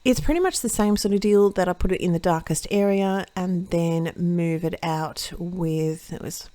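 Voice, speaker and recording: A woman hosting a watercolour card-making tutorial, chatting through each step as she paints and often correcting herself mid-sentence, her voice a little croaky. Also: fairly low pitch, normal speed, mellow, no echo. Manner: chatty, self-correcting, thinks aloud while painting. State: slightly croaky voice. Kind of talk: casual step-by-step craft demonstration.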